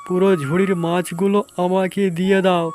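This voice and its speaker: High, even-toned market man played by a man. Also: fairly quick, music in the background, natural voice. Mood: keen, hearty. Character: brisk village market man, hearty and talkative. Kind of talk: animated story voice acting.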